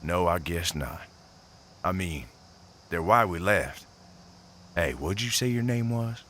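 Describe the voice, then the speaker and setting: A man's voice: low voice, lively intonation, slow pace, a bit bright, slight background noise, heavily acted. A man playing a disgruntled robot civilian in an audio drama, talking bluntly and colloquially. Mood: grumbling.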